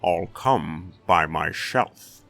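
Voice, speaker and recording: A man voicing a stoic, dangerous monster hunter with a resounding voice, calm and terse, in a fantasy audiobook. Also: sits low, extremely slow, vocal fry, soft background music, heavily acted. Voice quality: resounding, metallic. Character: stoic, dangerous male monster-hunting stranger.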